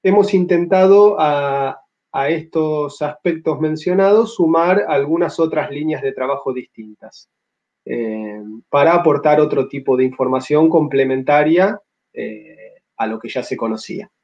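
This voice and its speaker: A man in his thirties with an Argentine accent, giving a measured academic slide talk over a video call, pausing often with filler sounds. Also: on the high side, a little mellow. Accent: Argentine Spanish. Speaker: paleontology lecturer. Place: home room on a video call. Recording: slightly dull lo-fi audio.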